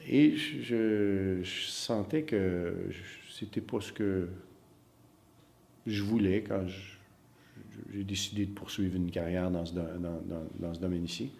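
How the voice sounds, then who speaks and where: Low-voiced man, hesitant. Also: a little slow, creaky, a little mellow. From Northern Ontario. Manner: hesitant, many fillers and repeated words. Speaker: acting teacher. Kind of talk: reflective interview answers.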